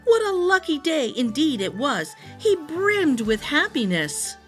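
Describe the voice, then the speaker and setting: A woman reading a rhyming picture book aloud to young children, expressively, with deliberate dramatic phrasing. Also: high voice, very animated, fairly bright tone, soft background music. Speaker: storytime reader.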